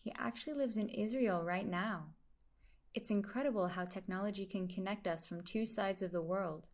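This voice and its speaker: A woman voicing a host's interview questions, with steady, deliberate phrasing. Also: medium pitch.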